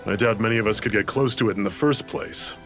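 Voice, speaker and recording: An actor playing a resolute resistance fighter in a video game, articulate and authoritative. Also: male voice, lowish voice, fast talker, over music, natural voice. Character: grave, resolute male resistance fighter leading his comrades. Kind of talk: dramatic video game voice acting.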